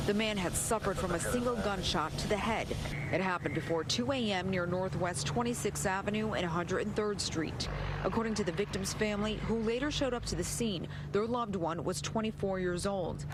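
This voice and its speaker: American woman reporting over loud street noise. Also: medium pitch. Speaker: TV field reporter. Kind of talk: scripted news reporting. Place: outdoors on a residential street.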